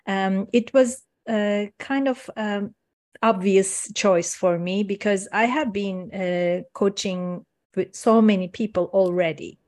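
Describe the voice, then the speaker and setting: A middle-aged woman from Turkey, a native Turkish speaker, talking casually as an interview guest in a soft, unhurried way with many fillers. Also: medium pitch. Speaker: guest, meditation coach.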